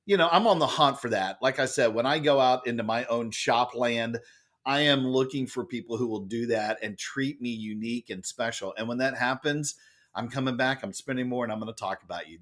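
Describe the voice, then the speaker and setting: Middle-aged man, loud voice, mid-pitched, lively anecdote-teller for a podcast guest spot. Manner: expressive, acts out quoted lines with big jumps in pitch. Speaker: guest, author and speaker. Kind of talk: animated storytelling in conversation.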